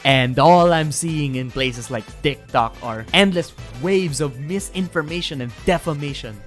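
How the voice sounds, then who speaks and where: A young adult narrating a casual video essay in an animated, informal, sweary way. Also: medium pitch, measured pace. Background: background music.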